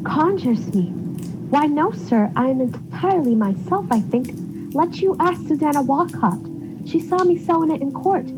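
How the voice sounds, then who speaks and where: A woman's voice: sits high, animated, moderate pace, noisy background. A woman playing a meek, nervous young servant girl, deferential and hesitant, in a radio play. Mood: nervous, bewildered.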